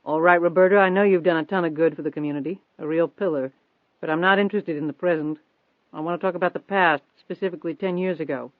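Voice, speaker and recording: A man's voice: a little high, fast, dry recording, lightly acted. Man acting a pushy male reporter, tinny band-limited sound. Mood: probing. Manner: insistent, steady, probing. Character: pushy, persistent male newspaper reporter, coaxing and confident. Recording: thin phone-like band-limited audio.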